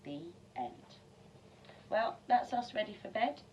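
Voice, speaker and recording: A woman reading a children's story aloud in an expressive way, as a teacher would to young children. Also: mid-range voice, slow. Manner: addressing young children, animated on story lines. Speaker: primary school teacher reading a bedtime story. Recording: distant mic, dull sound, faint noise.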